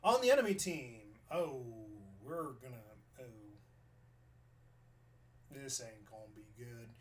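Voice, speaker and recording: A man from New York giving casual, chatty commentary while reviewing gameplay footage, thinking out loud and restarting his sentences. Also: mid-range voice, very animated, a little slow.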